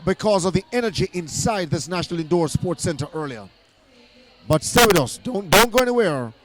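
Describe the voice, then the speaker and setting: A hoarse man doing live netball commentary in an indoor arena, excited and often restarting his phrases. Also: high-pitched, brisk, crowd noise.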